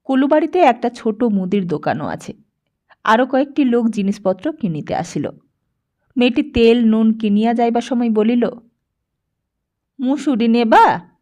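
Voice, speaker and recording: A woman's voice: a little high, animated, normal speed, dark tone. A woman reading a literary story as scripted audiobook narration.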